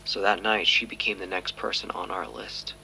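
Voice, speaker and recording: An actor playing a skeptical, weary police detective who presses quietly in an audio drama. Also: male voice, sits low, steady tone, moderate pace, creaky, a little hiss or hum, full character voice, low-quality recording. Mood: reflective, weary. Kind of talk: audio drama acting, interrogation and confessional narration.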